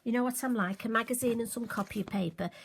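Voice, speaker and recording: A woman, slightly hoarse and occasionally croaky, chatting through a crafting tutorial with friendly, self-deprecating asides. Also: on the high side, quick pace, a little hiss or hum. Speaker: card-making demonstrator.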